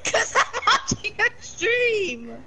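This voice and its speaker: A woman's voice: extremely high pitch, big pitch swings, takes it slowly. A giggly woman chatting casually and teasing her friend during a game stream. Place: voice chat over a game stream.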